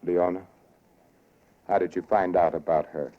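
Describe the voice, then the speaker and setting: An actor playing a haunted, wary murderer, narrating in brooding, dramatic 1940s radio noir style. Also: male voice, low-pitched, fairly even intonation, measured pace. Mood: guarded, tense. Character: haunted, wary male murderer narrating his own crime. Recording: dull old radio broadcast. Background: dramatic music.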